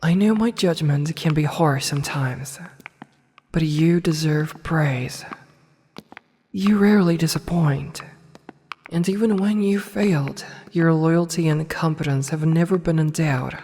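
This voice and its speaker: A woman playing an imperious evil empress in a fantasy ASMR roleplay, with haughty, formal, measured speech and intimate delivery. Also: sits low, mellow. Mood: tender, earnest. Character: imperious evil empress, adult woman, softening toward her advisor. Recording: long reverberant hall, faint noise.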